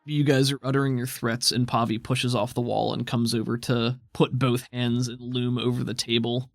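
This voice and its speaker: A man running a tabletop game as game master, narrating in a relaxed, descriptive way. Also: mid-range voice, dry recording. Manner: relaxed, descriptive, often slows down for imagery.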